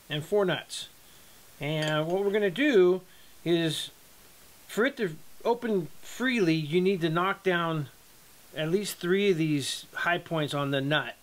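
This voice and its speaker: Plain-spoken man teaching welding. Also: high voice. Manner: relaxed, plain-spoken, with ums and restarts. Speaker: hobby welder teaching a project. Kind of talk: casual workshop tutorial. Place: home metal workshop.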